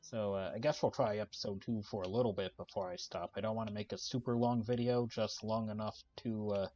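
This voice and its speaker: Male gaming host, low-pitched and soft-spoken, laid-back commentary, lo-fi mic sound. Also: a little fast. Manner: relaxed, many "uh" fillers, explains the game while playing. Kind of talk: casual gameplay commentary. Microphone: desk microphone.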